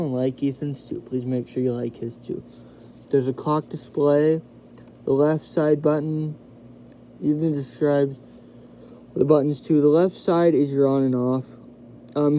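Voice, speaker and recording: A man's voice: a little high, mellow, slight background noise, low-quality recording. A man giving a casual, hands-on product review in an informal, chatty way, speaking directly to his viewers. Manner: informal, chatty, addresses viewers as "you guys". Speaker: video host reviewing a radio. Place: indoors in a house.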